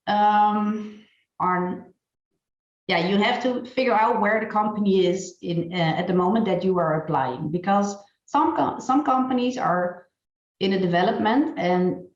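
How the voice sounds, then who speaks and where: A woman giving an online presentation and interviewing a colleague, halting and full of fillers. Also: on the low side, a little mellow. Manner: hesitant, many fillers and restarts. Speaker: presenter and recruiter. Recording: dull, roomy online call audio.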